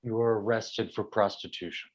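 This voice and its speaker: A man acting a concerned but unbending school official, speaking in a quiet, measured way in a stage play reading. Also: on the low side, steady tone, close to an everyday voice. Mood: firm, grave.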